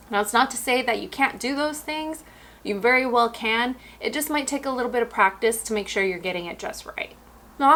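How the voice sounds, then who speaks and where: Chatty woman losing her voice. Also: mid-range voice. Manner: chatty, relaxed, and explanatory, addressing viewers directly. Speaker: houseplant care channel host. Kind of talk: casual instructional talk.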